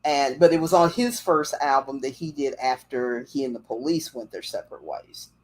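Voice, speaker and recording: A woman's voice: rumbling low, moderate pace, a little mellow. A woman hosting a livestream, chatting casually and telling stories. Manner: rambling, chatty storytelling. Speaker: livestream host. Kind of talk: casual chat. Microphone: livestream setup.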